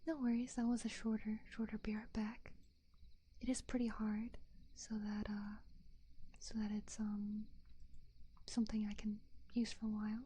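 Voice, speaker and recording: A woman livestreamer chatting casually with her viewers in a soft, breathy ASMR whisper, playful and self-deprecating, into a binaural microphone. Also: medium pitch, completely flat delivery, normal speed, long pauses. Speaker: livestreamer, ASMR roleplay host.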